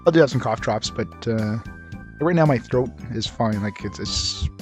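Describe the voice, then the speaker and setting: Brief: congested, slightly nasal man, mid-pitched, chatting loosely through a game session, faint game music behind. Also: moderate pace, darkish tone. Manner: rambling, chuckling, many fillers. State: congested, recovering voice. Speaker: game streamer. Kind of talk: casual let's-play commentary.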